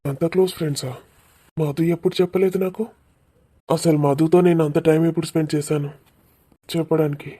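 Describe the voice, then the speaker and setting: Man playing nervous young cartoon lover, slightly high pitch, very mellow timbre, earnest. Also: steady tone, a little fast, long pauses, husky. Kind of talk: cartoon love story dialogue.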